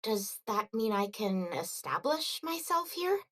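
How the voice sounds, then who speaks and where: A woman voicing a reserved, practical young girl engineer in a video game, measured and a little hesitant. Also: on the high side, very animated, a bit bright, full character voice. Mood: subdued. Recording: music behind the voice.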